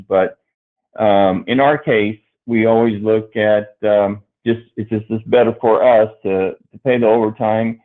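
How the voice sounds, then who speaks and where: A middle-aged man talks as a panelist on a dairy livestream, stopping often with fillers and restarting his sentences. His voice sits at an ordinary pitch for a man. The sound comes through a narrow, phone-like video call line. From Brazil. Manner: halting, many fillers and restarts.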